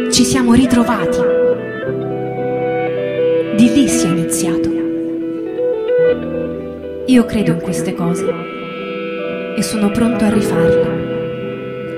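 An actress playing a proud, militant 1962 factory worker who recounts a revolt in a declamatory radio theatre monologue. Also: female voice, on the high side, lightly acted. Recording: loud guitar music behind the voice. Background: plucked guitar music.

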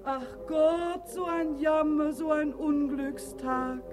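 A woman's voice: high-pitched, steady tone, slow pace, over music. A woman playing a crafty, bold maidservant in a classic comedy radio play, scheming and theatrical. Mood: mock wailing. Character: crafty, bold maidservant staging mock grief.

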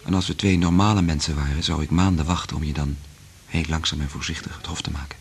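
An actor playing an earnest, resolute scientist in love in a radio play, speaking in a measured, intimate way with a husky voice. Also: male voice, a bass voice, fairly even intonation, exaggerated voice. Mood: tender, resolute. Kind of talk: Dutch radio play acting.

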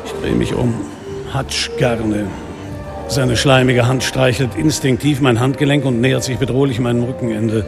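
An actor playing a weary, sardonic middle-aged police inspector, narrating a noir radio drama in a dry, laconic way. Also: male voice, medium pitch, fairly even intonation, normal speed, gravelly, close to an everyday voice. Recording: music behind the voice.

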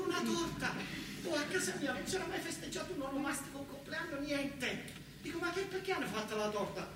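A man's voice: sits high, very noisy. A man with a southern Italian accent tells a story as a theatrical monologue, emphatic and repetitive, slipping into dialect. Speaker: stage storyteller. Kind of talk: theatrical monologue in dialect-tinged speech, with folk song. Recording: echoey, distant stage recording with music.

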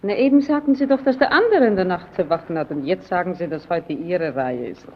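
A woman's voice: fairly low pitch, lively intonation, fairly quick. An actress playing a curious, persistent young woman visitor in an old radio play, chatty and politely probing with her questions. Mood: insistent, curious. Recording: dull, noisy old radio recording.

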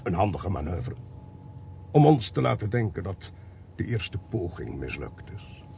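Sly wry investigator, gravelly, wildly varied intonation, Netherlands Dutch accent, radio play, slight background noise. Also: male voice, deep voice, big pitch swings, unhurried, warm tone, full character voice. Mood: sarcastic. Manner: wry, sarcastic. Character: sly, self-assured senior investigator, suspicious-minded.

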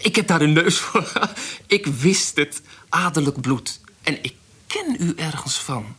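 An actor playing a fawning town magistrate in a radio drama, formal, gallant and unctuous. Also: male voice, a little high, expressive, measured pace, bright, forceful tone, husky, full character voice. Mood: curious, flattering. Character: fawning small-town magistrate, flattering a young widow.

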